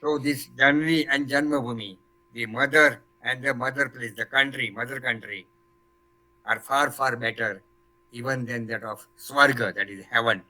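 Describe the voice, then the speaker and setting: A man's voice: medium pitch, steady tone, normal speed, pushed and bright, rough recording. An older man from Gujarat, speaking earnestly as a panelist and quoting verses. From Gujarat, India. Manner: earnest, quotes Sanskrit verses. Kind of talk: panel discussion.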